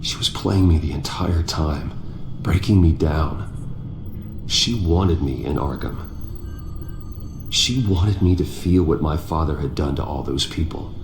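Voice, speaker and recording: A deep, brooding hero. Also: male voice, takes it slowly, exaggerated voice. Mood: regretful. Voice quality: low, terse and determined. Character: brooding young male billionaire hero, exhausted. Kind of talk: video game voice acting. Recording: echoey game cutscene audio with music.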